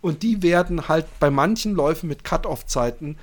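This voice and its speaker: Higher-voiced man in casual, emphatic podcast chat. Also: normal speed. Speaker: podcast host.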